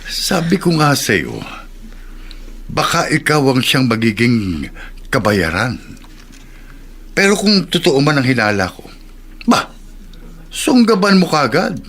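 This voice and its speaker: A man's voice: medium pitch, very animated, slow, long pauses, fairly bright tone, slight background noise, exaggerated voice. Friendly, slyly encouraging older man. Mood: urging. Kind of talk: radio drama dialogue.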